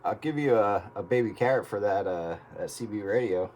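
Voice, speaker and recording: Lowish-voiced man chatting on the radio. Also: brisk, noisy background. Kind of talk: casual chat over the radio.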